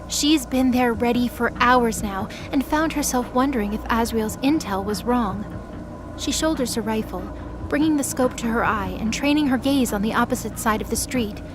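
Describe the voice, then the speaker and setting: A woman narrates a mythology audio drama in a scripted, storytelling style. Her voice sits fairly high, and music plays clearly behind her.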